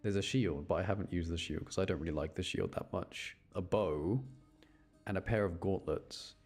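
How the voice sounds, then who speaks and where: A man with a low, creaky voice streams a game and chats to his viewers. He rambles casually from one thought to the next, with game music playing behind him. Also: moderate pace, darkish tone. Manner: rambling, chatty, addressing viewers. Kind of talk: casual game livestream chat.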